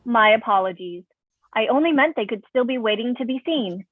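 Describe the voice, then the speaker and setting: A woman's voice: fairly high pitch, expressive, a little fast. A woman playing a polite, literal robot assistant in a science fiction drama reading, speaking formally and precisely through a thin phone-like sound.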